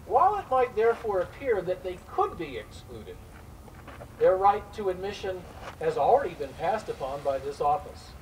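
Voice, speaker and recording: A man playing a formal early 1900s immigration inspector in a staged reading, speaking in a measured, deliberate way as he delivers official rulings. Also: sits high, darkish tone. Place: live staged reading before an event audience. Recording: distant mic, faint noise, live event.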